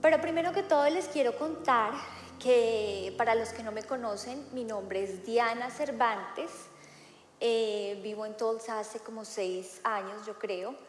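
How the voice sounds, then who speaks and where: Woman in her 30s, fairly high voice, sermon, chatty with fillers, echoey hall with long reverb. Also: a little slow, slight background noise. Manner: conversational, frequent filler "eh" and "¿no?". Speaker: preacher. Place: church hall.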